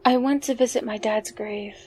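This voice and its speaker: A woman's voice: on the high side, fairly even intonation, moderate pace, darkish tone, soft background music, natural voice. An actress playing a wry, affectionate woman in an audio drama, speaking intimately and without hurry. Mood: wry, tender.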